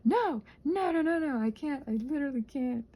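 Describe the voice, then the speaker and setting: A woman in a casual, rambling ASMR vlog, soft-spoken and self-deprecating, thinking aloud in a meandering way and sometimes dropping toward a whisper. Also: high voice, animated, normal speed. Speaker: ASMR creator rambling while crafting.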